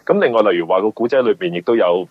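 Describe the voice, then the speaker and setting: A man with a Hong Kong Cantonese accent giving conversational interview answers, explaining things haltingly and often repeating words. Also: fairly low pitch, husky, no room sound. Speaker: guest, mystery novelist. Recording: muffled, band-limited radio interview audio.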